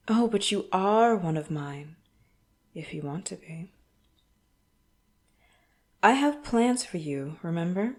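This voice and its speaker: Woman doing a smug villain crime boss for audio rp, lowish voice, coolly polite but threatening, slips into whispers. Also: a little mellow. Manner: deliberate, taunting, coolly polite with threatening undertone. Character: menacing, smug crime boss interrogating a captured assassin. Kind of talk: audio roleplay acting, addressed to the listener.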